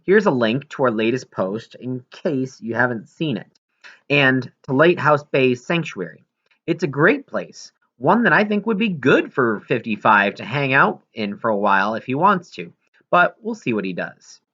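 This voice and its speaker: A man's voice: mid-range voice, sing-song, moderate pace, a bit bright, highs cut off. A man reading aloud as a considerate female researcher, friendly and explanatory, in an audiobook. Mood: friendly, apologetic. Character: considerate female whale researcher writing an apologetic letter.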